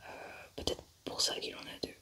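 A young adult woman in a hushed, unhurried ASMR roleplay, methodically narrating each step as a scientist. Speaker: ASMR creator playing an alien autopsy scientist.

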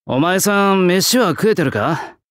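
Young-bodied old-souled swordsmith, rough artisan talk, slow delivery, animated pitch, dry recording, game character. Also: male voice, no room sound. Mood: gruff, reflective. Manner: blunt, rough old-fashioned artisan speech. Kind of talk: Japanese game character voice lines.

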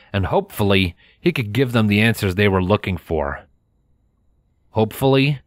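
A man narrating a scripted story in a measured, expressive storytelling manner, close to the microphone. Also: lowish voice, no echo.

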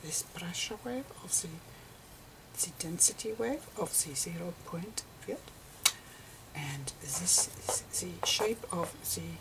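A woman voicing an eccentric elderly male physicist, whispering a halting, patient ASMR roleplay lecture. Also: fairly low pitch, far from the mic, very noisy, heavily acted. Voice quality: breathy whisper. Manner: halting, repetitive, patiently explaining. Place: indoors at a slate chalkboard.

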